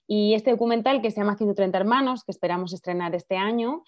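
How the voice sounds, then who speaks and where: A woman's voice: medium pitch, quick pace, no echo. A woman with a non-Chilean Spanish accent, a filmmaker answering radio interview questions in long, earnest explanations. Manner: fluent, earnest explaining with many clauses. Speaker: filmmaker and campaign director, interview guest. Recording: dry, dull lo-fi radio audio.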